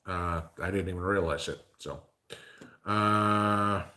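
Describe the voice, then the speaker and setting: A man's voice: low-pitched, slow, pushed and bright. A man hosting a casual live stream, reading viewer comments and answering them in a rambling, conversational way full of fillers. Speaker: livestream host reading chat.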